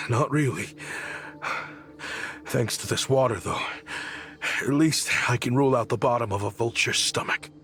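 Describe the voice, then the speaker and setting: An actor playing an exiled war researcher in a video game, theatrical and earnest, a scholar obsessed with weapons. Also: male voice, mid-range voice, a little slow, long pauses, fairly bright tone. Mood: weary, wary. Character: exiled war researcher, eager weapons fanatic, confessing his crimes. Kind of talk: dramatic story narration and dialogue. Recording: music under the voice.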